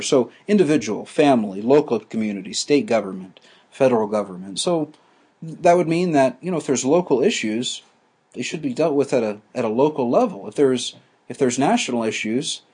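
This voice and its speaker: A man teaching a class, explaining ideas slowly with frequent restarts and fillers. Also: mid-range voice, darkish tone, breathy edge. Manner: halting, many restarts and fillers.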